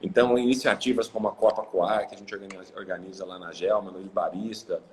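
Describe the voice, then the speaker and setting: A man's voice: low voice, steady tone, quick pace, darkish tone, a little hiss or hum. A Brazilian man from Ribeirão Preto, talking casually as a guest on a live video call, rambling with many fillers and restarts. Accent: Brazilian Portuguese. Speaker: guest, coffee exporter.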